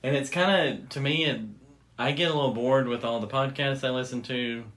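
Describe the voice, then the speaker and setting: A man's voice: medium pitch, steady tone, brisk, fairly bright tone. A man chatting casually as the host of a podcast. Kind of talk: casual chat.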